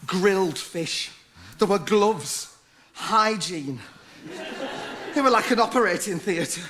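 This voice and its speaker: An actor with a Yorkshire accent playing a stubborn, combative chip shop owner in a stage musical comedy, declaiming like a wartime leader. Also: male voice, sits high, lively intonation, slow pace, fairly bright tone, full character voice. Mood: indignant. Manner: blustering, declaiming wartime speeches.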